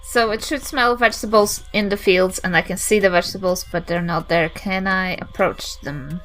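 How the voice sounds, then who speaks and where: A woman's voice: medium pitch, steady tone, normal speed, fairly bright tone, low-quality recording. A woman with a New Zealand accent chatting casually and playfully as a player in a tabletop game. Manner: playful, informal.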